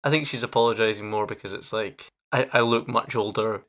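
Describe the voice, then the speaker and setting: A man's voice: fairly low pitch, fairly quick, a bit bright. A 27-year-old man, hosting a podcast and telling chatty stories that stumble over themselves. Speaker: podcast host. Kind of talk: casual chat.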